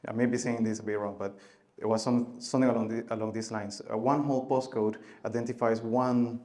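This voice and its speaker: Man giving a halting tech talk. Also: mid-range voice. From Venezuela. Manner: halting, many filler sounds and restarts, loudness rising and falling. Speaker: conference speaker. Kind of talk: conference talk.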